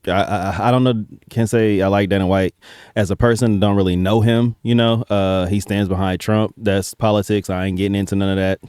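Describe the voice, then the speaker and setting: A man's voice: lowish voice, fairly even intonation, brisk, darkish tone, clean tone, no room sound, studio quality. A man giving casual, slangy reaction commentary as a host, with some profanity and hesitant starts.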